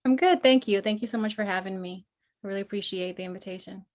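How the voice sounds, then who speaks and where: A woman's voice: mid-range voice, fast, darkish tone. Peru-born woman veteran, hesitant speech. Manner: hesitant, many fillers, speaks openly and personally. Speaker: guest, Marine veteran and nonprofit founder. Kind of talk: conversational podcast interview.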